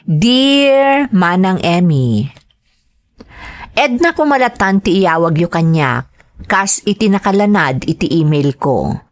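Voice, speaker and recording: A woman with an Ilocano accent hosting a radio show, reading scripted material aloud with drawn-out phrase endings. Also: on the low side, lively intonation. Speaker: radio drama host reading greetings and a listener's letter.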